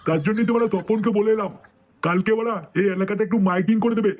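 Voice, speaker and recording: A man's voice: sits high, fast, darkish tone, close to an everyday voice. A man voicing a foul-mouthed, worried, grumbling old village grandfather in a comedy cartoon. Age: older. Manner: grumbling, crude.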